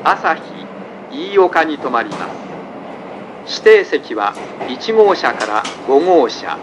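A man giving a formal, measured, polite scripted announcement over a train's speakers. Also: fairly high pitch, sing-song. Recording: train speaker under loud railway noise. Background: loud train running noise.

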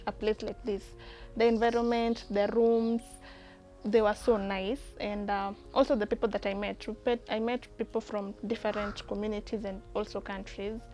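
A young woman from Sudan, a coding student giving an earnest, steady interview testimonial outdoors on a lapel mic. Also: medium pitch, lo-fi sound. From South Sudan. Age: young adult. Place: outdoor terrace.

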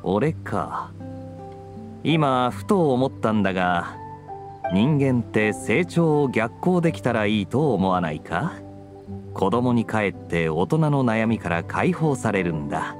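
An actor playing a suave, teasing young knight in a video game, languid and wry. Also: male voice, fairly low pitch, very animated, slow pace. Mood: musing, relaxed. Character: suave, teasing young man, a laid-back adventurer knight. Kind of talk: Japanese video game voice acting. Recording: studio voice over guitar game music.

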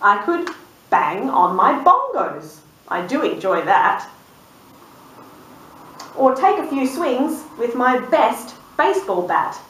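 A woman voicing a cheerful, daydreaming female sheep from a storybook, with sing-song, drawn-out rhyming lines. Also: fairly high pitch, sing-song, a bit bright, slight background noise, full character voice. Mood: dreamy, cheerful. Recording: roomy, distant microphone.

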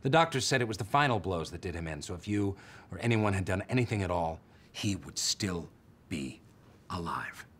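An actor playing a confrontational TV interviewer, theatrical, sarcastic and pointed in a dramatized news interview. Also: male voice, low voice, expressive, moderate pace, a bit bright, slight background noise. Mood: accusing, sarcastic.

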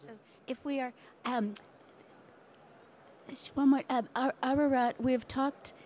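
A woman's voice: high voice, fairly even intonation, unhurried, darkish tone, a little hiss or hum. An older woman chairing a book-fair panel at a table microphone, hesitant with ums. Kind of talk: moderating a panel. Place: conference room at a book fair.

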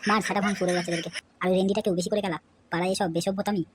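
A woman's voice: mid-range voice, little pitch movement, rapid-fire, noisy background, close to an everyday voice. A woman voicing a hot-tempered, foul-mouthed male village club leader who barks abuse and orders. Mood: angry. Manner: shouting abuse, bossy. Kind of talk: Bengali comedy cartoon dubbing. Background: background music.

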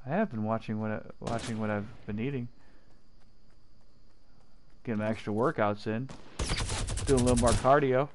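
A man's voice: medium pitch, moderate pace, pauses often, a little hiss or hum. A man in his thirties, a father chatting casually while playing a video game with his young son, cracking jokes and mock-serious asides. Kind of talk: casual gameplay chat.

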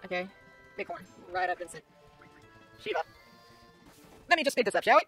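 A woman giving casual let's-play commentary, her voice raspy and strained, talking to herself and the viewers with quick asides. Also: on the low side, sing-song, fairly bright tone. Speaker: gamer playing a game on video. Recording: faint game music behind.

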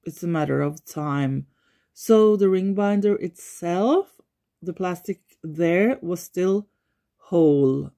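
A Norwegian woman talking unscripted in her living room, pausing often as she searches for words. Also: lowish voice, expressive, mellow. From Norway. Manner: thoughtful, searches for words, frequent pauses. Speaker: environmental vlogger. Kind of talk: unscripted talk to camera.

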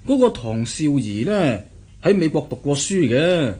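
An actor playing a self-assured, chatty government interpreter in a radio drama, explaining things in a confiding manner. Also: male voice, a little high, sing-song, measured pace, dark tone, husky, heavily acted. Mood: confiding, pleased. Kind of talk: Cantonese radio drama acting.

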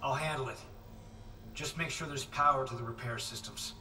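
An actor playing a steady, practical repair engineer in a sci-fi horror game, speaking tersely. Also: male voice, mid-range voice, normal speed, far from the mic, a little hiss or hum. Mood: determined. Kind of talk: naturalistic video game acting.

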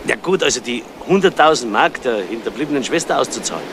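An actor playing an excitable, talkative man in a 1970s crime radio play, animated and quick to protest. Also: mid-range voice, noisy background, natural voice.

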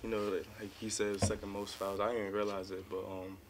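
A man's voice: fairly low pitch, level tone, brisk, background noise. A young man, a college basketball player, answering reporters at a postgame press conference, laid-back and full of "um" and "like" fillers. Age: young adult. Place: press room in front of sponsor backdrop. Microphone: table mic in front of him.

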